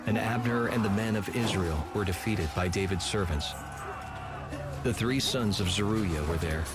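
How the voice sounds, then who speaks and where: Deep-voiced male scripture narrator. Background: music.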